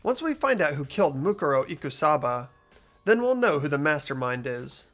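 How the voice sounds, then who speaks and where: A man's voice: on the high side, very animated, moderate pace, fairly bright tone. A man voicing an earnest, inquisitive teenage schoolboy who narrates his detective work in a visual novel game. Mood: earnest, thoughtful. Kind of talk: acted visual novel dialogue and inner narration. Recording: music behind the voice.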